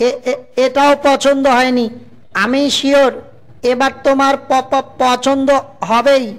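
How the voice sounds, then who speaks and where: A woman's voice: high-pitched, flat delivery, takes it slowly. A woman voicing a roguish, lovestruck male fox in a cartoon, who stumbles through words with a heavy stutter. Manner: heavy stutter, repeats syllables. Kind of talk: exaggerated cartoon voice acting.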